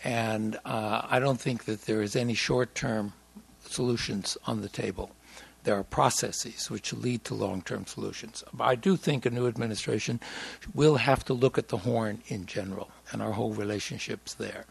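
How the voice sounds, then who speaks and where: A man, speaking, gives measured, hesitant remarks on a discussion panel, with frequent fillers and restarts. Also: on the low side, steady tone, vocal fry, breathy edge. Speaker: panelist on foreign policy.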